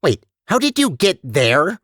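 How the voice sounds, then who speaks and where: A man's voice: sits high, wildly swooping pitch, unhurried, a bit bright, no room sound, full character voice. Video game robot companion, acted by a man: talkative, nervy test-chamber guide, swooping intonation, very dry close sound. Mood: anxious. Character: chatty, anxious male robot personality core running test chambers. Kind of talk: animated video game voice acting.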